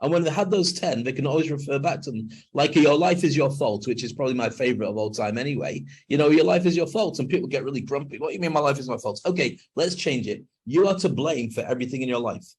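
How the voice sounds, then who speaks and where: An older man speaking as a guest on a video call interview, emphatic and animated when making a point. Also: medium pitch, quick pace, few pauses, husky. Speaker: guest, NLP trainer and speaker.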